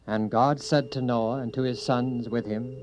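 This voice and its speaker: A man's voice: mid-range voice, steady tone, measured pace, a little mellow, slight background noise. A man narrating a scripted, dramatized Bible story for children in storybook style. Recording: dull lo-fi recording with clear music.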